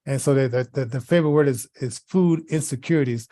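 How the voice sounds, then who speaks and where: A man hosting a casual radio talk show, speaking haltingly and often repeating words and phrases as he goes. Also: mid-range voice, warm tone, dry recording, clean studio sound.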